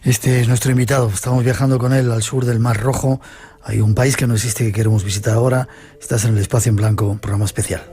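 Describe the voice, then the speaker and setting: A man's voice: medium pitch, steady tone, fast talker. A man hosting a radio programme, putting questions and presenting to listeners. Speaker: radio host.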